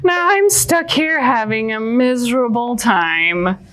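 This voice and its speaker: A woman's voice: fairly high pitch, animated, slow, fairly bright tone. A woman voicing a touchy, miserable wooden puppet boy in a children's puppet show, whiny and indignant.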